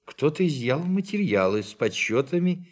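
Sceptical professor with lively, swooping delivery. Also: male voice, a little high, moderate pace. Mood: probing, suspicious. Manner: questioning, doubting, peremptory. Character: sceptical, brusque, inquisitive male professor investigating a mystery. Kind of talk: Russian radio play acting.

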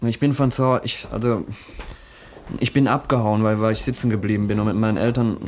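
An actor in a radio crime drama playing a cheeky, defensive young student who has run away from home. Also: male voice, lowish voice, fairly even intonation, brisk, lightly acted. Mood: flustered, defensive. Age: young adult. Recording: noisy old radio play recording.

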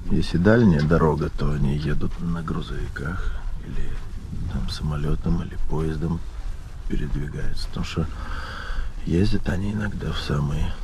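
A man with a very deep voice and plenty of creak explains his work as an animal trainer. He answers interview questions in a matter-of-fact, unscripted way. The recording is clearly noisy. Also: moderate pace, a little mellow.

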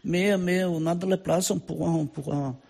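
Gravelly, husky older man with accented French. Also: sits high, fairly even intonation, darkish tone. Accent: southern French with Portuguese. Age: older, grandfather of a 24-year-old. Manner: repeats words, halting. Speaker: club president, interview guest. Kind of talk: casual radio interview.

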